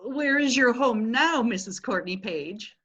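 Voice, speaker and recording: A woman playing a bright, exclaiming friend. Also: on the high side, measured pace, slightly rough, muffled recording, full character voice. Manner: animated and exclaiming. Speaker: reader in a play reading. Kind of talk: amateur readers' theatre acting.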